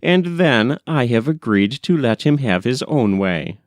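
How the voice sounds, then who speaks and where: A man's voice: mid-range voice, animated, unhurried, no echo, studio quality, natural voice. A man voicing a hearty, forthright, middle-aged working-class man in an audiobook story. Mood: frank, decided.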